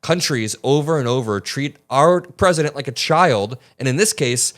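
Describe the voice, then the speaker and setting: A man's voice: medium pitch, normal speed. A man delivering emphatic, argumentative political news commentary straight to his viewers.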